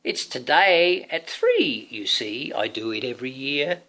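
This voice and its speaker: A man voicing a squealing, excitable witch who is bossy and cheery, in a storybook reading. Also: medium pitch, unhurried, low-quality recording. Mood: excited, insistent. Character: squealing, cackling old-style witch, bossy but cheery party planner.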